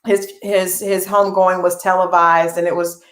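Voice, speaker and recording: A woman's voice: lowish voice, little pitch movement, moderate pace. A woman hosting an interview, who asks long, rambling questions and murmurs frequent agreement. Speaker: interview host. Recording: echoey room.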